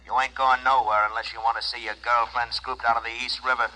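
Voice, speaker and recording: A crooked American mob boss from old-time radio drama. His mid-pitched voice is piercingly hard and bright, slangy, coaxing and full of menace. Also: flat delivery, quick pace, low-quality recording. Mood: threatening. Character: menacing, wheedling male racketeer boss. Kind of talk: 1940s-50s radio crime drama acting.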